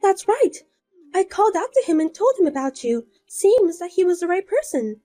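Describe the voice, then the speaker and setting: A woman's voice: very high voice, sing-song, normal speed, warm tone, soft background music, voice pushed up. A woman voicing a scheming younger sister who taunts and boasts in a sing-song way. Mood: smug.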